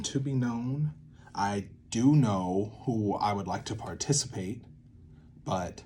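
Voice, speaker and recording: A man with a fairly low voice talks off the cuff as he works through creative ideas. His delivery is informal and rambling, with words that get stretched or punched for emphasis. There is some background noise on the recording. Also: darkish tone. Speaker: video series host, theatre maker. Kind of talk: casual unscripted creative-process talk, thinking aloud.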